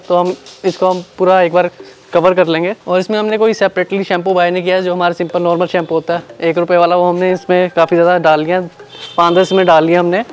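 A man's voice: sits high, slight background noise. A man casually explaining a product as he unboxes and demonstrates it for viewers. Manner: quick, plain explaining to viewers. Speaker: product reviewer. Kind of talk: casual unboxing and demo narration.

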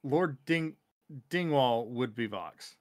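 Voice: medium pitch, expressive, slow, darkish tone. A livestream co-host chatting casually in an informal, sweary way.

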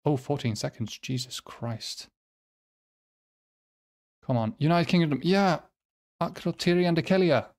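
Slow-talking man, self-deprecating and halting. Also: on the high side, animated, darkish tone. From Finland or nearby Nordic region. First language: Swedish or Finnish. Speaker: quiz video host. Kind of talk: casual quiz commentary to viewers.

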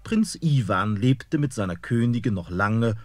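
Brief: male storyteller, lively melodic delivery, scripted narration. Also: lowish voice, a little slow. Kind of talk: scripted fairy-tale narration.

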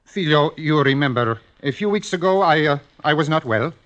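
An actor playing an impatient, ailing old fencing master, halting and emphatic, in a 1950s radio drama. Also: male voice, fairly high pitch, expressive, a bit bright, highs cut off. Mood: halting, confiding. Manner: halting, emphatic, repeats words.

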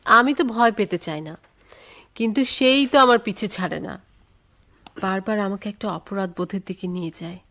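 An actress playing a timid, tender young woman in love in a radio drama, sentimental and soft, with a Bangladeshi accent. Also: medium pitch, brisk, close to an everyday voice. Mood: anxious. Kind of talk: theatrical radio drama acting. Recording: dull, lo-fi radio recording.

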